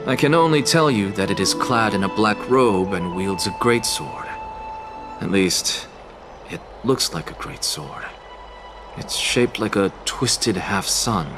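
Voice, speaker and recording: Gruff, world-weary warrior, low slow delivery, sardonic, for a game, over prominent music. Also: male voice. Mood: sardonic, guarded. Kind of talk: video game voice acting.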